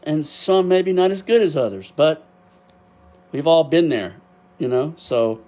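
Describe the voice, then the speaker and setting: An older man with a Texas drawl talks off the cuff into a cheap webcam microphone, rambling and emotional with frequent laughs. He sometimes gets loud without noticing. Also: fairly high pitch. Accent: Texan. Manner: loud at times, deaf and can't hear himself. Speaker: podcast host. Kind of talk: casual solo podcast talk. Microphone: built-in webcam microphone.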